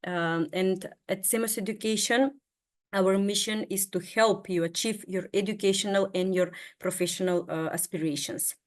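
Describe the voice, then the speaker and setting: Female sales rep, mid-pitched, steady delivery with frequent fillers. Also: moderate pace. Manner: steady, with many filler sounds. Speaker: sales specialist. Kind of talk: webinar introduction.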